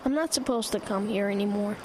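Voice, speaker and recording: A woman's voice: mid-range voice, brisk, darkish tone, a little hiss or hum, close to an everyday voice. A woman voicing a timid schoolboy in an audio drama, nervous and apologetic. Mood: hesitant. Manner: hesitant, apologetic.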